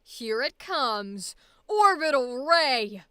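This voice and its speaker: A haughty villain, cold and condescending, calling out attacks in a slow, grand, theatrical way, as a woman puts the voice on. The tone is smooth and clean, and the dry, close recording has no room echo. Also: sits high, big pitch swings, pushed and bright, heavily acted. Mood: cold, menacing. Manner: slow, commanding, theatrical delivery.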